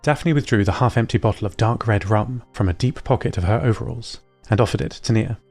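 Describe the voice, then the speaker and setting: A man narrating audio fiction as an observant, earnest robot, in a precise and measured way. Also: fairly low pitch, darkish tone, soft background music.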